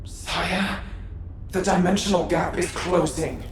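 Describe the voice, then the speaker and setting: An actress playing a grave, pleading attendant in a video game, speaking solemnly and with measured care. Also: female voice, on the low side, fairly even intonation. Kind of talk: video game cutscene acting. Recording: echoing, distant, noisy.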